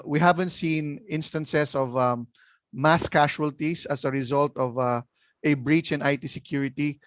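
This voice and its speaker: Measured male panelist, dry close sound. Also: a little high, no room sound. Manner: measured, fills pauses with "uh". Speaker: congressman panelist. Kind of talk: explanatory panel answer.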